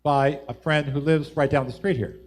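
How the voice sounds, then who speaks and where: A man's voice: a little high, steady tone, moderate pace. A man hosting a live music show, chatting casually with guests in a teasing, jokey way. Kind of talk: casual chat, interviewing guests.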